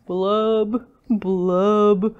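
A woman's voice: medium pitch, little pitch movement, drawn-out words, muffled recording. A woman voicing a glum, mopey male fish from a children's picture book, in a sing-song rhymed lament. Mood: glum, resigned.

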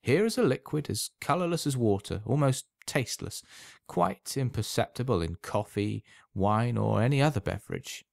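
A man's voice: mid-range voice, animated, moderate pace, vocal fry, no echo, clean studio sound, voice pushed up. A man voicing a polite, sly old potion seller in a storybook reading, formal and precise in manner. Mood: sly salesmanship.

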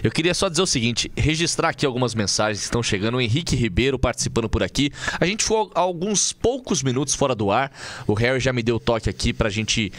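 Man co-host with lively intonation. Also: medium pitch, fast, dry recording. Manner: teasing, reads viewer messages. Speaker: podcast co-host. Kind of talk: casual banter and show hosting. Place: small podcast studio, indoors. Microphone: studio mic, headphones.

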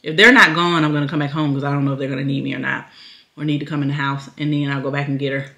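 A woman's voice: low voice, steady tone, racing, creaky, sharp tone, a little hiss or hum. A middle-aged woman vlogging casually to a phone camera, rambling and chatty, swearing offhand and laughing often. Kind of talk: casual vlog chat to camera.

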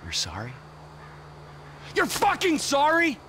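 An actor playing a volatile middle-aged drug dealer in a video game cutscene, menacing and profane, swinging from quiet to yelling. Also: male voice, very high-pitched, wildly swooping pitch, slow pace, a little hiss or hum, heavily acted. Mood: furious.

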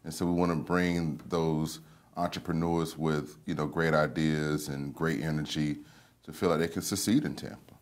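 A man in his thirties, a business founder, relaxed and plain-spoken in a TV studio. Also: extremely low pitch, normal speed. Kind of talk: interview answers.

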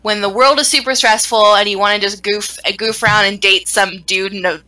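A woman in a casual game discussion, rambling and animated, with some swearing. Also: medium pitch, a little fast, faint music behind, poor audio. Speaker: gaming stream panelist.

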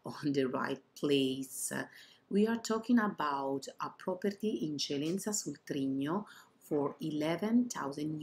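A woman from Italy with an Italian accent narrates a property tour as an estate agent, in halting non-native English phrasing. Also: low-pitched, darkish tone, a little hiss or hum, poor audio.

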